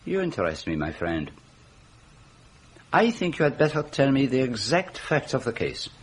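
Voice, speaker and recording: Shrewd, courteous detective, lively intonation. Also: male voice, mid-range voice, slight background noise. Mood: shrewd, musing. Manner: measured, formal, questioning, with small musing hums. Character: shrewd, courteous foreign private detective, methodical and probing. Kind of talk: radio drama acting.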